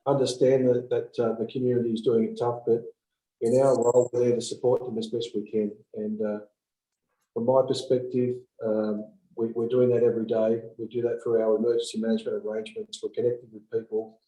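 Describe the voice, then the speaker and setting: A man in his fifties, a police officer, speaking haltingly with many fillers on a video call from an office. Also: mid-range voice, little pitch movement, dark tone. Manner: halting, many ums and uhs. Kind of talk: online panel discussion. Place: office with blinds. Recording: roomy laptop audio.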